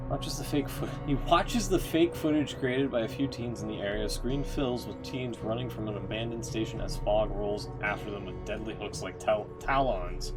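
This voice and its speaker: Guy streamer w lowish voice, halting rambly wry commentary, music going behind him. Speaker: game streamer. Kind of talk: casual live stream commentary.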